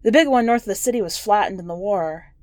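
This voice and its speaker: A woman's voice: mid-range voice, fast, a little mellow, dry recording, natural voice. A woman playing a guarded, weary survivor in their sixties in an audio story, terse and understated. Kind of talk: audio short story character voice.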